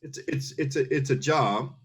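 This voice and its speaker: Husky-voiced man explaining step by step. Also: medium pitch, moderate pace. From Philadelphia, USA. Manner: earnest, explains step by step with many restarts. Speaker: guest, army veteran. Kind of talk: casual interview conversation.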